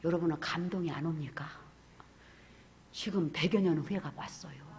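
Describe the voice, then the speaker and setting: A woman pastor preaching a fervent sermon, swinging from loud cries to hushed whispers. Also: deep voice, normal speed.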